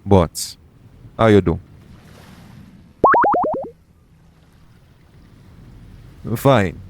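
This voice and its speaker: Casting: male actor as a roguish yet protective pirate captain, low-pitched, colloquial sea-dog speech, gently reassuring, for intimate audio roleplay. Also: takes it slowly. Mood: friendly, coaxing. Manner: colloquial seafarer's dialect, speaking tenderly to one listener.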